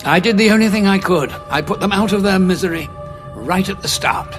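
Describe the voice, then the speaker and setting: A man's voice: high voice, faint music behind, natural voice. A man playing a grim, weary war veteran. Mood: cold. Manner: cold, measured and self-assured. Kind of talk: audio drama acting.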